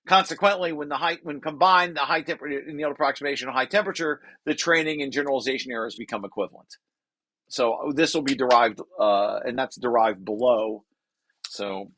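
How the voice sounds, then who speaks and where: A man's voice: medium pitch, a bit bright, no echo. A man reading through a technical paper and explaining it informally, thinking aloud and correcting himself as he goes. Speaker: researcher presenting own paper.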